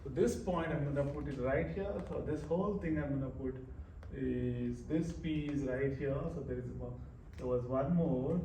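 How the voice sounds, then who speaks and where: A man's voice: mid-range voice, normal speed, mellow. A man teaching math informally, working through a problem step by step as he writes and often repeating his phrases. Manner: explains step by step while writing, repeating phrases. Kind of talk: informal worked-example math lesson. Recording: roomy echo, distant mic, faint noise.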